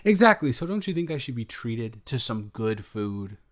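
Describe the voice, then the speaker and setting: An eager golden retriever boyfriend, acted out by a man in an improvised roleplay audio. He has a mid-pitched male voice and gushes affectionately, rambling and tripping over his words in a playful, natural way. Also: very animated, moderate pace, natural voice. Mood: playful, bantering. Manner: gushing, rambling, stammering, playful.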